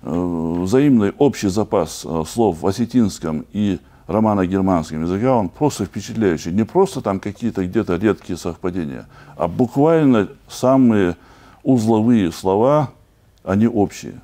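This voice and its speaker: A man from Chechnya talks as a podcast guest in a very low, dark, mellow voice. He speaks in a measured, earnest way and shapes his points into full, reflective sentences. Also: lively intonation. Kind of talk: conversational podcast discussion.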